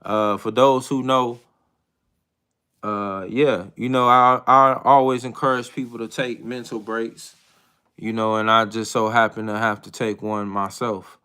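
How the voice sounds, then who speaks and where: A man in his thirties talks openly to viewers in a relaxed live chat. His voice sits slightly low yet sounds bright and forward, with a smooth, clean ring. He speaks in a hesitant, reflective way, stopping and restarting often. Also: unhurried. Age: 30s. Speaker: livestream host.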